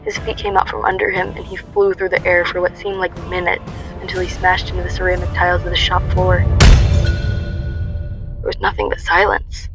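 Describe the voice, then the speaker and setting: A woman's voice: fairly low pitch, little pitch movement, a little fast, creaky, over music, low-quality recording. A woman playing a young heroine who recounts her ordeal in intense first-person dramatic narration. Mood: tense intense.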